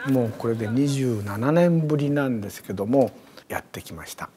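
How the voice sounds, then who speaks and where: A man speaking reflectively in an interview as a performer, pausing often to search for words. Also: medium pitch, crowd noise. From Japan. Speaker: performer and artist's collaborator. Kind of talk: reflective interview in short phrases.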